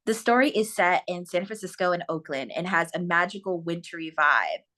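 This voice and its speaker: A woman speaks as a podcast host in a casual interview. Her voice sits a little low for a woman, in an informal conversational style. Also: lively intonation, normal speed, sharp tone.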